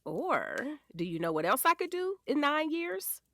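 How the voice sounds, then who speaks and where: Lively, sassy, doting mother played by an actress. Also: high-pitched, a little slow, no echo, clean studio sound, full character voice. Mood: teasing, firm. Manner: sassy, teasing. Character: playful, firm, doting wife and mother of forty-one. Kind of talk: naturalistic audio drama acting.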